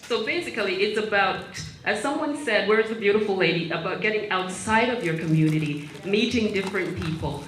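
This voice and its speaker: A woman attendee introducing herself with an energetic, motivational-speaker delivery. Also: medium pitch, brisk, hardly any pauses. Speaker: event attendee. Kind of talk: upbeat self-introduction. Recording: echoey room with a crowd behind.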